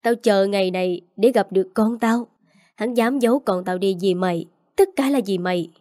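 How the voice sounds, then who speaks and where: A woman voicing a furious young mother who shouts accusations in an audio story. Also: medium pitch, moderate pace, dry recording, clean studio sound, close to an everyday voice. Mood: enraged. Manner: screaming accusations.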